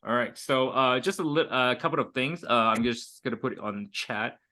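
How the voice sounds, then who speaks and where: A man's voice: medium pitch, moderate pace, sharp tone. A man from Hong Kong in his thirties, teaching an online English group lesson over a video call, chatty and full of fillers and restarts.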